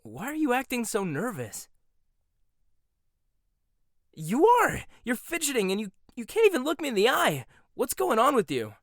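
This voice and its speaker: A young man voicing a proud, sharp-tongued male chef in a roleplay audio, speaking close to the mic. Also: extremely high pitch, wildly swooping pitch, moderate pace, long pauses, no room sound, full character voice. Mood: agitated. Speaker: voice actor. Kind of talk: boyfriend ASMR roleplay.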